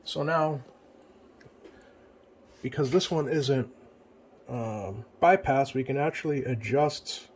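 A man giving a casual hands-on tutorial as a repair technician, plain-spoken and often pausing mid-sentence. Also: mid-range voice. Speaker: CB radio repair technician presenting. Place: indoor electronics workbench. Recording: clear background noise, home workbench room.

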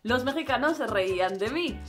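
A young woman with a Spanish accent from Spain, chatting casually to camera as a travel vlogger, animated and expressive. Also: a little high, normal speed, faint music behind. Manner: animated, expressive, gestures a lot. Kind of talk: casual vlog monologue. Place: small room indoors.

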